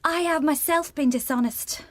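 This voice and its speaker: A woman's voice: sits high, moderate pace, a bit bright, no echo. An actress playing an earnest, naive young upper-class lady in a comic period radio drama, easily distressed. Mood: confessing.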